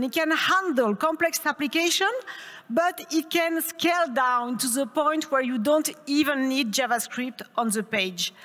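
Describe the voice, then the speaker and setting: A woman's voice: high voice, a bit bright. A woman with a French accent gives an upbeat tech conference talk and puts questions to the audience. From France. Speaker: conference speaker. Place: conference hall. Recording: reverberant hall.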